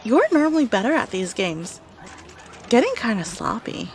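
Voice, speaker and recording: A woman's voice: on the high side, sing-song, normal speed. A woman acting a playful young gamer on a date in an audio roleplay, teasing and blunt in a casual way.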